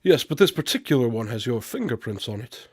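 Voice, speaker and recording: A man acts an unflappable detective in a radio play. He has a middle-pitched voice, dry and close, and lays out accusations in a measured, knowing way. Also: very animated, husky, dry recording. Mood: cool, probing. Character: shrewd, unflappable male detective confronting a suspect. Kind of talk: amateur radio drama acting.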